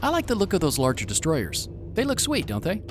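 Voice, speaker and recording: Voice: mid-range voice, wildly swooping pitch, fairly quick, over music. An actor playing a playful, teasing male mercenary commander with a flippant, slangy manner.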